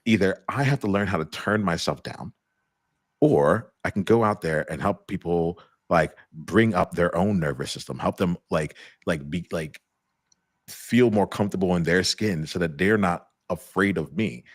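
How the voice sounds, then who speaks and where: A man in middle age hosts a live chat in an extremely deep voice, heard dry and close with no room sound. He talks loosely and searches for words, circling back through fillers and restarts. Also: normal speed, no room sound. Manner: rambling with many restarts and fillers. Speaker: host. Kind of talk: casual live conversation.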